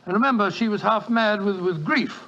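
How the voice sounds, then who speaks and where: A bluff doctor, earnest and given to stammering, his voice pitched high. It comes across a dull old radio recording. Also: brisk, pushed and bright. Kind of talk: 1940s radio acting.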